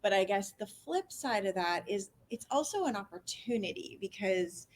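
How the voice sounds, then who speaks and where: A woman author answering a question on a panel, thoughtful and pausing to find her words. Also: mid-range voice, lively intonation, slight background noise.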